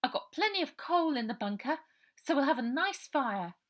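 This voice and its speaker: Storybook role: woman plays a bossy, persuasive young girl, earnest, pitched well above narration. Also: big pitch swings, normal speed, fairly bright tone. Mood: coaxing. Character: determined, persuasive young girl of 1930s England. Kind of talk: children's storybook character voice.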